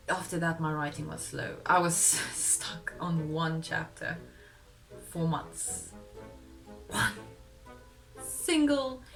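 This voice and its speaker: Low-voiced young woman, Afrikaans first language. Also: vocal fry, a bit bright, poor audio. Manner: candid, self-deprecating, laughs mid-sentence. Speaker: vlogger. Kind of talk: confessional vlog narration. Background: faint music.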